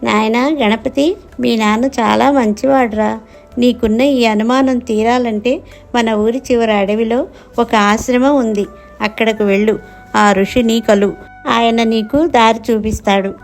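A woman's voice: fairly high pitch, fairly even intonation, measured pace, vocal fry, faint music behind. A woman voicing a kindly old village grandmother who gives advice in a cartoon moral story. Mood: kindly advising.

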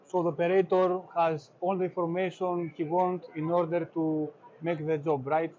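A man interviewing a guide at a trade fair, speaking haltingly with many uhs and non-native phrasing. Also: on the high side, level tone, a little mellow, slight background noise. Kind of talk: asking questions on a tour. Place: large indoor exhibition hall. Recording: slightly dull audio.

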